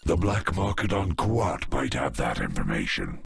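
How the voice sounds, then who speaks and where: An actor playing a grim, cautious alien henchman in a video game, terse and measured, with a deep, gravelly, husky voice. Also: very animated, exaggerated voice.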